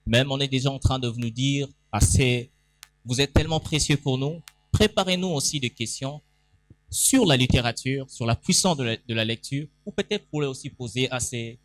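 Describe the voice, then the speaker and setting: Male pastor-moderator, enthusiastic emcee, mid pitch, dry mic. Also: no room sound. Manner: enthusiastic, announces guests, calls for applause. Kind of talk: event hosting. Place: event hall stage.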